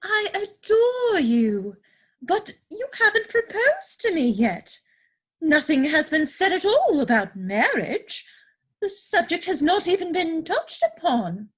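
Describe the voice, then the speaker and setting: A woman's voice: very high-pitched, wildly swooping pitch, a bit bright, exaggerated voice. A woman playing a romantic, willful upper-class young lady in a theatrical period comedy, with an affected, gushing manner. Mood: adoring, rapturous. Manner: affected, gushing, grandly drawn-out delivery.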